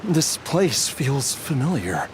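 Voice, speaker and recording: Slow, troubled young man. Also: mid-range voice, very animated, noisy background. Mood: uneasy, searching. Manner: halting, with trailing pauses. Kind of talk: video game voice acting.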